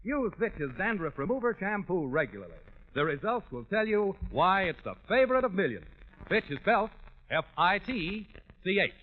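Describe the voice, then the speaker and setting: Male 1940s commercial announcer: emphatic and polished, high register, thin phone-like old radio sound. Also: sing-song, moderate pace, a little hiss or hum. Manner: emphatic, polished salesman's enunciation. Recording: tinny, narrow-band 1940s radio recording.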